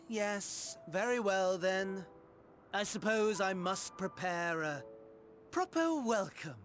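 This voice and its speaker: A sly game villain with a high-set voice that swoops through ornate, mocking courtesies. He takes his time over every phrase, theatrical and falsely polite. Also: fairly bright tone, full character voice. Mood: wry. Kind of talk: dramatic video game cutscene acting.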